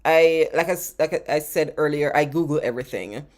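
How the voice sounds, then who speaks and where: Low-voiced Jamaican woman chatting. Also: normal speed, a little mellow. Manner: chatty and rambling, laughs often, repeats words. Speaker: travel vlogger answering live chat questions. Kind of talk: casual live stream Q&A.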